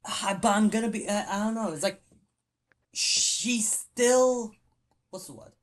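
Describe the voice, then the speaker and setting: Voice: mid-range voice, a little slow. A podcast co-host chatting casually about a film, rambling and stopping to search for words aloud, with the odd casual swear.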